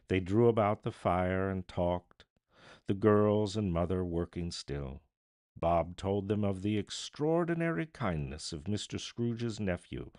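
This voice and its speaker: Low, expressive male storyteller. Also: gravelly, no echo, studio quality. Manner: theatrical, deliberate, pausing between phrases. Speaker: narrator, live reader. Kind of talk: dramatic storybook narration.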